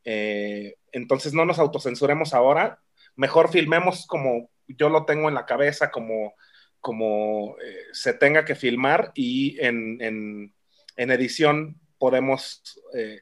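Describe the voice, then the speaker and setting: A man's voice: mid-range voice, measured pace. A Mexican man, a film director, chatting casually in an interview, with many fillers and restarts.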